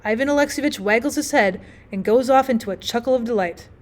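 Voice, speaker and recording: A woman's voice: a little high, quick pace. A woman narrating a classic short story as a scripted audiobook reading. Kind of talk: scripted literary narration read aloud.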